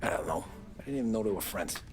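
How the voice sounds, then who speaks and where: A low-pitched American man as a world-weary gangster in a cinematic game. He is brooding, plain-spoken and hardboiled. Music plays clearly behind him. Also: expressive, quick pace. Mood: curt, uneasy. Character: weary, loyal young mobster and former cab driver. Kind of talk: cinematic video game crime drama acting.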